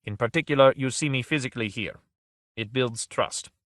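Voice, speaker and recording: A man voicing a calm male agent with a quiet, deliberate way of speaking. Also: medium pitch, no room sound, studio quality, lightly acted.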